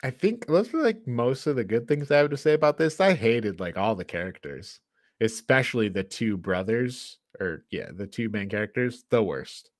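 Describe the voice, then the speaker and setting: A man's voice: mid-range voice, animated, moderate pace, no echo, studio quality. A man co-hosting a casual film review podcast, talking in a blunt, hyperbolic, informal way. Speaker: podcast co-host.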